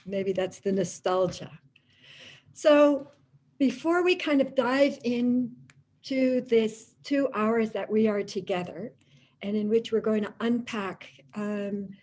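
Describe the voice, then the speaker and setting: A woman's voice: fairly high pitch, slow pace, a little mellow. A woman with a Dutch accent, Dutch her first language, giving a thoughtful lecture-style talk with many fillers and restarts. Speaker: guest lecturer.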